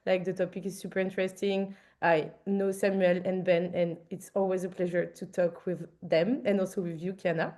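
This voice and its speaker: French-accented woman, mellow voice. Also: lowish voice, level tone, normal speed, hardly any pauses. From France. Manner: friendly, frequent fillers. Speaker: panelist, artist manager. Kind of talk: conference panel talk.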